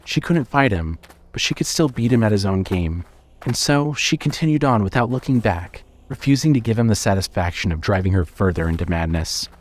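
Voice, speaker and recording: A man's voice: lowish voice, lively intonation, brisk. A man narrating a scripted horror story as the narrator of an audio drama.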